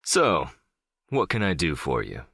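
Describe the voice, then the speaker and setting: A man's voice: extremely low pitch, huge pitch swings, measured pace, creaky, bright, forceful tone, slightly rough, dry recording, studio quality, heavily acted. A man playing a powerful, middle-aged crime boss in an audiobook drama, controlled, condescending and smugly self-assured. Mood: cool, polite. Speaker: audiobook voice actor.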